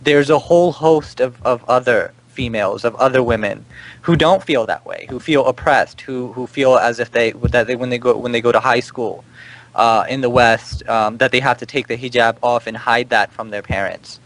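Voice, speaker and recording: A man speaking off the cuff in a panel discussion, halting and often repeating words as he builds his point. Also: medium pitch, fairly bright tone, poor audio. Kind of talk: panel discussion, unscripted argument.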